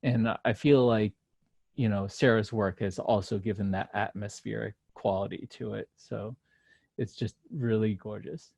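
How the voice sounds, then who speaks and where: A man hosting a casual interview, phrasing his questions and comments tentatively, in halting pieces. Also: lowish voice, fairly even intonation, gravelly, darkish tone, breathy edge, dry recording.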